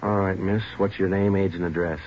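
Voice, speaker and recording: An actor playing a firm, businesslike police detective in a 1940s radio drama, questioning in a clipped, matter-of-fact way. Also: male voice, deep voice, steady tone, a little fast, natural voice. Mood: firm accusing. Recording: noisy, muffled 1940s radio recording.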